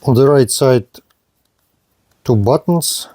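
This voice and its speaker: A man narrating a product review and unboxing in short, halting, plain phrases. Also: mid-range voice, warm tone, a little hiss or hum. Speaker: gadget reviewer.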